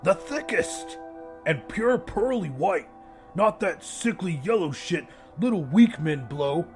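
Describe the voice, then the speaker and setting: Audiobook character: male narrator as hyped muscle-bro, loud, raised pitch, bragging, light music behind. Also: expressive, slow, exaggerated voice. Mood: hyped, boastful. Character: pair of boastful, meaty gym-bro brothers.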